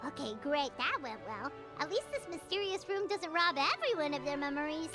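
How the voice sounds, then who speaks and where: Tiny childlike fairy girl, extremely high squeaky voice, cheeky, inquisitive, animated, video game, loud music behind. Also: normal speed. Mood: teasing, sarcastic. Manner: cutesy, squeaky, blunt and teasing. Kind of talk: scripted video game character dialogue.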